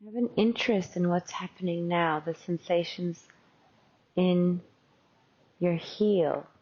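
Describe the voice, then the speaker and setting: A woman teacher leading a guided meditation in a gentle, unhurried, conversational and softly instructive way. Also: fairly low pitch, fairly even intonation, highs cut off.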